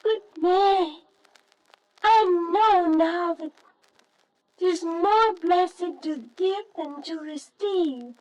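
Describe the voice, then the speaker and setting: A woman's voice: extremely high pitch, very slow, noisy background, exaggerated voice. A woman voicing an earnest, humble young shepherd boy in an old-fashioned radio nativity drama, with archaic storybook diction. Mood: tender, adoring.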